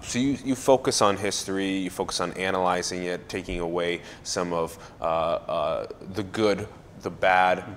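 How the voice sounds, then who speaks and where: The low-voiced man hosting an ideas podcast from a reverberant hall, earnestly talking things through with a guest. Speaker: interview host. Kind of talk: conversational interviewing. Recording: echoey hall with background noise.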